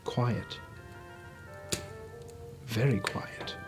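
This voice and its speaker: A man's voice: medium pitch, steady tone, extremely slow, music in the background. A man narrating a classic story as a storyteller, reading expressively from a script. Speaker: storyteller, reader.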